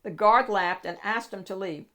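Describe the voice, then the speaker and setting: A woman narrating a children's picture book in an expressive, emphatic storytelling manner with deliberate phrasing. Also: mid-range voice. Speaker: storybook reader, read-aloud host.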